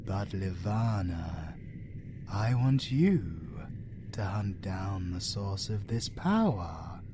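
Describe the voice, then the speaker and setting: A man's voice: low voice, big pitch swings, background noise, full character voice. A British man playing a vengeful, doting alpha werewolf in an audio roleplay, with a dramatic delivery. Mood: tender, commanding. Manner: slow, dramatic, wildly swooping delivery.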